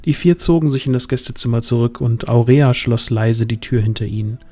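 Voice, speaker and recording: A man's voice: medium pitch, fairly quick, dark tone. A man narrating a scripted fantasy audio drama as its storyteller. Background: music.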